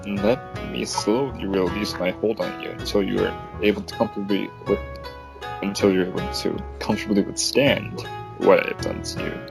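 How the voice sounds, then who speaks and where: A man voicing a seductive, courteous male lover for a fiction reading, gravelly and intimate. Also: fairly low pitch, steady tone, normal speed. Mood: coaxing. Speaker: guest voice actor. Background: plucked guitar music.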